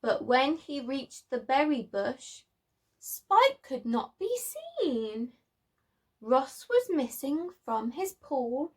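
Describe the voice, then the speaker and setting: A woman's voice: high-pitched, big pitch swings, extremely slow. A woman preschool teacher reading a story aloud, speaking expressively in simple phrases to small children.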